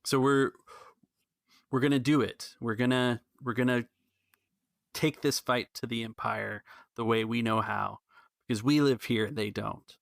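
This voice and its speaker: A man playing an earnest cantina keeper in a tabletop space adventure, urging rebellion in a halting, persuasive way. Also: mid-range voice, fairly even intonation, creaky, no room sound, clean studio sound. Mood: earnest, resolute.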